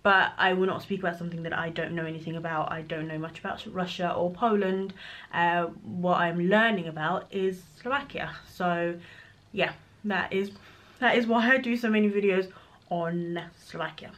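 A woman's voice: fairly low pitch, steady tone, normal speed, a bit bright. A woman, answering viewer questions in a casual vlog, frank and chatty, filmed close up in a small room at home. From Congo. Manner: chatty and frank, talking straight to camera. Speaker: vlogger. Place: bedroom nursery, indoors. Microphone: camera at close range.

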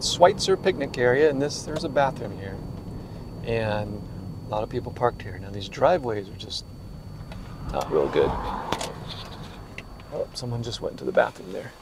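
Gravelly man narrating a mountain drive. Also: medium pitch, measured pace, pauses often. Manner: relaxed, rambling commentary with fillers. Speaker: road trip vlogger. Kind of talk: casual narration while driving and walking. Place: in a car on a mountain road, then outdoors at a parking area. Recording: noisy car and outdoor recording. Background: car and road noise.

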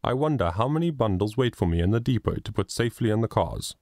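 A cheery, teasing father with a deep, jovial voice, as a man reading a storybook puts him on. He jokes lightly in a lively tone that swings up and down, close and dry. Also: fast talker, no echo.

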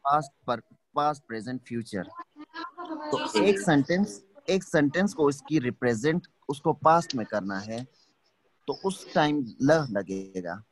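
A man's voice: mid-range voice, lively intonation, rough recording. Patient male teacher on a video call. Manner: patient, explains slowly, checks understanding often. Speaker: Chinese language teacher. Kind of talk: online class teaching.

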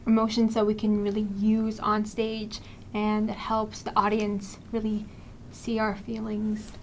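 A woman's voice: medium pitch, monotone, unhurried. A young woman student actor answering casually in an interview. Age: teens to young adult, high school student. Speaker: student cast member being interviewed. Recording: faint background room noise.

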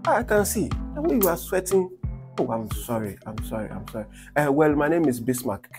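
A high-pitched young meter inspector in a comedy skit. He is exclamatory and volatile, able to grovel or scold. Light music plays behind him. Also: sing-song, brisk, a little mellow. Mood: meek, apologetic. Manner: pleading, deferential, exclamatory. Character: meek young meter inspector who turns scornful and indignant. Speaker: electricity company meter inspector.

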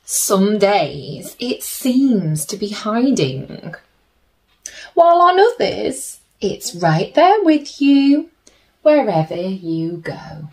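Slow-paced woman reading to children. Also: mid-range voice, sing-song. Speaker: children's story reader. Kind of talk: picture-book read-aloud with chatty asides to children. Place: small plain room indoors.

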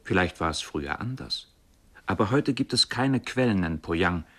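A low-voiced, reflective village man. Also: expressive, normal speed, bright, forceful tone, exaggerated voice. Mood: contemplative, wistful. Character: reflective Chinese man recalling his poor home village. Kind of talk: literary radio play monologue.

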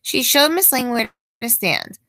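A woman reading a children's picture book aloud in an expressive way with deliberate emphasis. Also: fairly high pitch, no echo.

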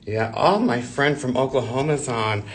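A man's voice: medium pitch, expressive, normal speed, a crowd in the background. A man in his forties, losing his voice, chatting casually while walking a live-stream tour of a church building and reading viewer comments aloud, his phone held at arm's length. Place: indoor church building hallway.

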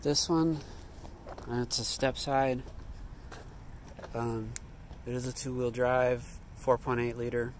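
A man's voice: mid-range voice, level tone, takes it slowly. Man chatting offhand in a noisy lot. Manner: offhand, thinking aloud, self-correcting. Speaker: vlogger. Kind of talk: casual walkaround commentary. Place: outdoor parking lot.

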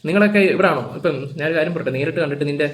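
A man rants in a lecturing, indignant way. He speaks extremely fast in a slightly high voice. The room adds clear echo. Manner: indignant, lecturing with rhetorical questions. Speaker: reaction video commentator. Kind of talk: informal ranting commentary. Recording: echoey room.

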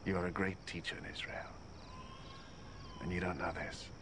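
An actor playing an earnest, authoritative holy teacher in a biblical film drama. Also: male voice, low voice, little pitch movement, moderate pace, noisy background, natural voice. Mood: calm, earnest. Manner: earnest, declarative.

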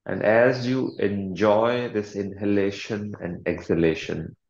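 A man leading a guided meditation as a coach, speaking calmly and deliberately with long pauses and soothing instructions. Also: on the low side, roomy sound, highs cut off.

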